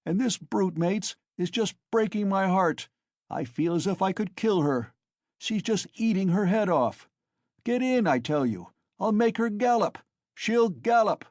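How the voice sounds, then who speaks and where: A man's voice: high voice, expressive, unhurried, hardly any pauses, dark tone, no room sound, clean studio sound, voice pushed up. A man voicing a drunken, thick-necked young male peasant who bellows and boasts in an audiobook. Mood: drunken bellowing.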